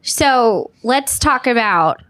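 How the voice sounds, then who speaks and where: A woman's voice: fairly high pitch, expressive, slow, a bit bright. A woman sports reporter hosting a podcast, asking questions in a friendly, conversational way. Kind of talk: casual podcast interview.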